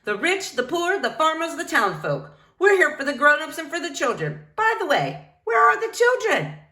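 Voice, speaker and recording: A woman's voice: high voice, sing-song, moderate pace, sharp tone, echoey room, pitched above the performer's own voice, heavily acted. A woman voicing an exuberant, determined woman librarian in a storybook, with emphatic, enthusiastic delivery. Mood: warm, rallying. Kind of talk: storybook character dialogue.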